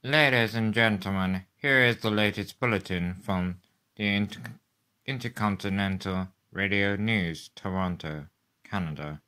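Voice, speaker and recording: A man playing a sober studio newsreader in a radio drama, reading bulletins in a formal, deliberate way. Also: lowish voice, pushed and bright, smooth. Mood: grave, formal. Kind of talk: 1930s radio news bulletin acting.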